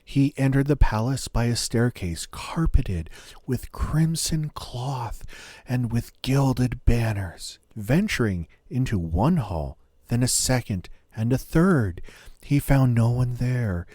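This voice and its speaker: A man narrating a scripted fairy tale as a storyteller, in a measured, dramatic manner. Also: medium pitch, animated, warm tone, no echo.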